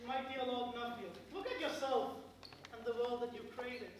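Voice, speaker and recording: Pantomime tyrant lord played by a man: very high, declamatory, imperious, heard far from the mic in a noisy hall. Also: moderate pace. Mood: grandiose. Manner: theatrical, declamatory, commanding. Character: pompous tyrannical college lord, ruler of his domain. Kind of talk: amateur pantomime stage acting. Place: indoor stage in a large hall.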